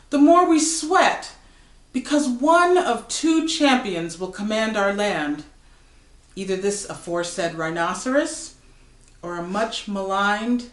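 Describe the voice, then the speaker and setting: A woman gives a dramatic poetry recital in an echoey room. Her delivery is slow and deliberate, with lively swings of pitch and emphatic pauses that set off key words. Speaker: poetry reader. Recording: roomy, echoing recitation.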